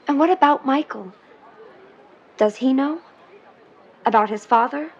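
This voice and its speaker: An earnest, desperate young woman in an audio drama who swears she is a mermaid. Her voice is high and pleading. The recording is muffled and narrow. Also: unhurried.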